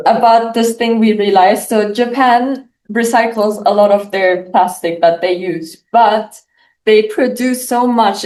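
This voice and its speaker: A woman's voice: medium pitch, darkish tone, roomy sound, distant mic. A teenage girl from Germany giving a casual student presentation, filling her sentences with "like". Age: teens. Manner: casual, lots of "like" fillers. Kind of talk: class presentation.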